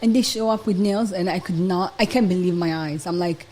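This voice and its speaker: A woman's voice: fairly low pitch, brisk, a little mellow. A woman from Morocco, a nail salon owner, talking as a guest in a casual interview and telling stories loosely with many fillers.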